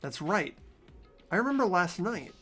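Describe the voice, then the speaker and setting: A performer voicing an anxious, bewildered high school boy, reading visual novel narration in an earnest, dramatic, hesitant way. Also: big pitch swings, measured pace, sharp tone, exaggerated voice. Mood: puzzled, anxious. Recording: background music behind voice.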